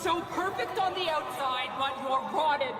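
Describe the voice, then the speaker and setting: A bitter, scornful accuser in a game, extremely high in pitch and slowly declaimed. The outraged voice echoes through a big space over loud music and crowd. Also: male voice. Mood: scornful outrage. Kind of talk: dramatic video game voice acting.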